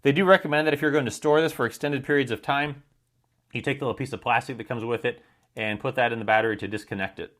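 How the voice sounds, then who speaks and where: A man's voice: medium pitch, steady tone, quick pace, a bit bright. Matter-of-fact American man reviewing a product. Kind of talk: informal product demonstration and review.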